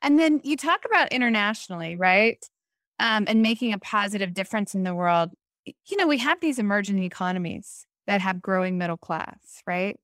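A woman interviewing someone in a casual, conversational style, speaking informally and with animated emphasis. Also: medium pitch, a little fast, no echo, studio quality.

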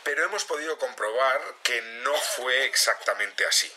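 An actor playing an earnest, stern security chief giving an official, deliberate press conference statement in an audio drama. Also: male voice, medium pitch, lively intonation, sharp tone. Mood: grave, official. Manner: official, deliberate, repeating phrases as he announces. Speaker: head of security.

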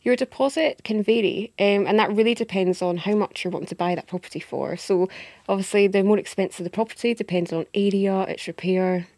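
A woman with a Scottish accent, a guest expert answering interview questions in a steady, explanatory way with small restarts. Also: mid-range voice, fairly quick, no room sound. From Scotland.

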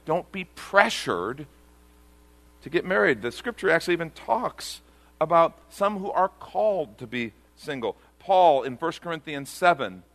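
A man's voice: a little high, fairly bright tone. A man pastor preaching a sermon to a congregation, earnest and repeating key phrases for emphasis.

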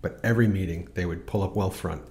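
A man's voice: sits low, fast. A middle-aged man hosting a podcast, telling stories in casual chat and livening up when he quotes others. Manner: storytelling, animated when quoting others. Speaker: podcast host.

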